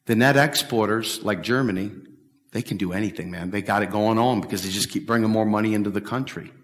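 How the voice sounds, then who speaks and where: A middle-aged man giving an animated, emphatic talk at a policy event, repeating his points and gesturing as he answers questions. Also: on the low side, fast. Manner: emphatic, repetitive, talks with big hand gestures. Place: large bright hall with windows, standing before a stage table. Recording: echoey hall from far off.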